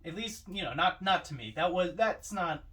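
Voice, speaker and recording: Higher-voiced man podcasting, distant from the mic. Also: lively intonation, moderate pace, bright, forceful tone. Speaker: podcast co-host. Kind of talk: casual film discussion.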